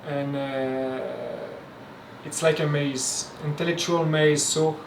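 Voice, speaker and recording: A man from Quebec, a native French speaker with a Québécois French accent, gives an informal spoken lecture with halting speech, many fillers and restarts. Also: on the high side, steady tone, slight background noise. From Quebec, Canada. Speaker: spiritual teacher. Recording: roomy echo, mic at a distance, faint ambience.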